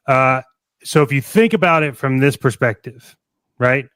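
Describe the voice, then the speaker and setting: Man, smooth clear voice, casual fan podcast chat, enthusiastic, rambling. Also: medium pitch, unhurried, fairly bright tone, no room sound, clean studio sound. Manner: enthusiastic, rambling, thinks aloud. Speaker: podcast co-host. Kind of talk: casual fan chat about toys and films.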